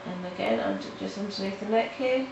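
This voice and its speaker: A woman with a nasal voice from hay fever, talking halting and casual as she walks through a coloring tutorial at home. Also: mid-range voice, wildly swooping pitch, highs cut off. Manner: halting, with restarts and fillers. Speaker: art tutorial host. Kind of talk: casual step-by-step tutorial narration. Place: home in the countryside. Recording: roomy, mic at a distance, faint noise.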